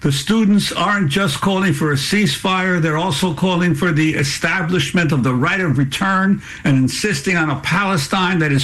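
A male news interviewer with a notably high voice poses questions to a guest. He speaks haltingly, repeating words as he goes. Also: fairly bright tone. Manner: halting, with restarts.

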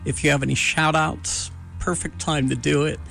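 Male host, gravelly, slow and searching delivery, light music bed. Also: fairly high pitch, little pitch movement, fairly bright tone. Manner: measured, halting with many "uh" pauses. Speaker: radio show host. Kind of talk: slow, thoughtful interview hosting.

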